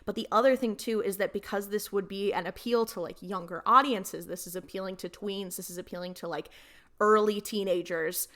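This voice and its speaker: A woman's voice: medium pitch, fairly quick, fairly bright tone. A young woman taking part in a casual, playful podcast debate as a panelist.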